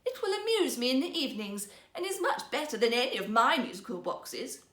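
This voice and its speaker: A woman's voice: on the high side, big pitch swings, brisk, a bit bright, roomy sound. A woman voicing a spoilt, haughty young princess in an emphatic, imperious storybook manner. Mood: imperious, indignant.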